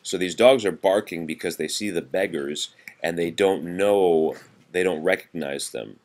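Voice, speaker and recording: Male reader, low-pitched, parent at storytime: sing-song rhymes broken up by informal asides and explanations for a young child. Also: a little slow, darkish tone. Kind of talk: read-aloud of nursery rhymes with casual chat to a child.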